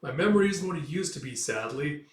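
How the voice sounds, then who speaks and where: A man's voice: on the high side, animated, quick pace, natural voice. Man playing sly, mocking Viking chief. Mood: mock puzzled. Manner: wry, taunting, falsely polite. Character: cunning, mocking middle-aged male Viking band leader. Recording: echoey room, distant from mic.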